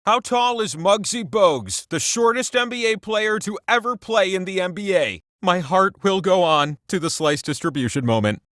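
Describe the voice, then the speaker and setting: A man's voice: high-pitched, fairly bright tone, dry recording, studio quality. A man voicing a cheeky, self-amused talking wheel who hosts a trivia video game with playful, theatrical delivery and wry asides. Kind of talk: scripted comedic quiz narration.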